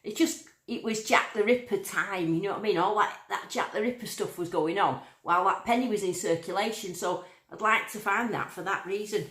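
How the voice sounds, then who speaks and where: A woman's voice: medium pitch, fairly quick, hardly any pauses, a bit bright, roomy sound. A woman from Lancashire with a northern accent that sounds a bit Yorkshire, chatting casually on a livestream and reading out viewer comments. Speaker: metal detecting livestream host.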